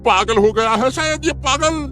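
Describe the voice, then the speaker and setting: A man playing an excitable, nervous villager who stammers and repeats himself in an animated horror story. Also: very high-pitched, normal speed, soft background music. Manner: stammering, repeats words, agitated.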